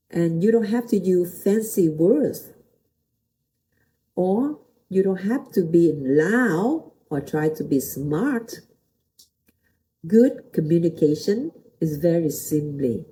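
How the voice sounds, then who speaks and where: Middle-aged female motivational speaker, native Vietnamese with matching accent, very dark soft-edged tone, unhurried. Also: lowish voice, low-quality recording. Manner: simple non-native English with short, repeated phrases and questions to the listener. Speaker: host, motivational teacher and pastor. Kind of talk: motivational talk to viewers.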